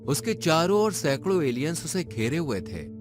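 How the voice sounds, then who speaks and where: A man's voice: a little high, big pitch swings, moderate pace. A man narrating a scripted story in a dramatic style. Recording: music behind the voice. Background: music.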